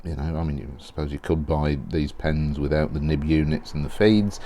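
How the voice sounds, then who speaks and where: A man talking casually while unboxing and looking over a pen, rambling and correcting himself, clearing his throat often as he loses his voice. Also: very deep voice, steady tone, a little fast, warm tone, a little hiss or hum. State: losing his voice. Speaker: fountain pen reviewer.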